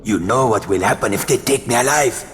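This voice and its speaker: A man's voice: medium pitch, brisk, lightly acted. Man playing resistance boss, stern and stoic, big echo, faint music behind, video game scene. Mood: grave, resigned. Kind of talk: video game cutscene acting.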